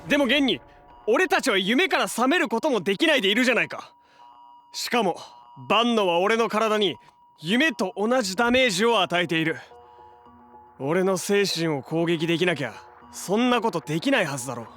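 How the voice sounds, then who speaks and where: An actor playing a young, loyal, quick-witted hero in a Japanese audio drama, with casual, punchy, confident delivery. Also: male voice, high voice, animated, a bit bright, faint music behind. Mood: pressing.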